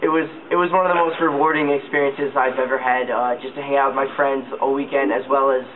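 A man's voice: mid-range voice, fairly even intonation, fast, narrow, tinny audio, crowd noise. A young man giving a casual testimonial to camera as a college volunteer. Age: young adult. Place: indoor lounge by a large window.

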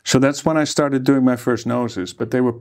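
A man in his forties, a plastic surgeon, telling his life story in a relaxed interview, speaking easygoingly with many hesitations into a table microphone. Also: medium pitch, normal speed. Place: ornate room, seated interview. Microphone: studio microphone on table.

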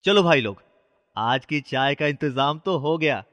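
A man plays a young cartoon street bully who mocks and sneers. His voice is slightly high, with a dull tone and a long reverb tail. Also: animated, a little slow, fairly bright tone. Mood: mocking. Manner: taunting, sneering. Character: mocking young male street bully, petty thief.

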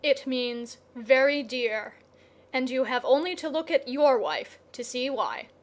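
A woman's voice: high voice, a little slow. Storybook farmer read by a woman: ordinary mortal man, plain-spoken, loving husband. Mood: kindly.

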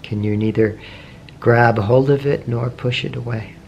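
Male meditation teacher, mid-pitched, slow and gentle, faint background hiss. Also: steady tone, vocal fry, muffled recording. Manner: slow, soft, gentle questioning with long pauses. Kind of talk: guided meditation.

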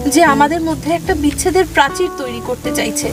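An actress playing a young newlywed wife who speaks earnestly and pleadingly in an audio drama. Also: female voice, high voice, fast, over music, lightly acted.

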